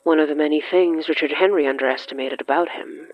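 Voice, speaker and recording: A narrator reading dramatic horror fiction in a measured, halting way with weighty pauses. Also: medium pitch, fairly even intonation, muffled recording, faint music behind. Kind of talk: slow, dramatic scripted narration.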